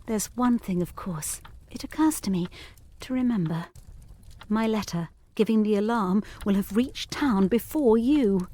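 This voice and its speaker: A woman's voice: medium pitch, measured pace, dark tone, close to an everyday voice. A woman playing an earnest, anxiously determined young governess in an audio drama, speaking formally and urgently. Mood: anxious, probing. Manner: formal, literary phrasing, urgent. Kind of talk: audio drama acting of Victorian gothic ghost story.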